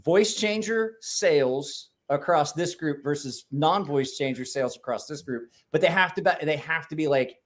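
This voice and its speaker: Man, slightly high voice, casual livestream chat, rambling, restarts a lot, swears. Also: moderate pace, few pauses. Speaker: guest.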